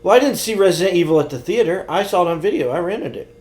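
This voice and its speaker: A man's voice: high-pitched, animated, quick pace, a little mellow. A man co-hosting a podcast, chatting casually about pop culture in a blunt, opinionated way. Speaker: podcast co-host.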